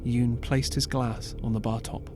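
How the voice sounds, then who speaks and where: A man narrating an audiobook as scripted storytelling, measured and earnest, with dramatic pauses. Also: medium pitch, flat delivery. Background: clear background music.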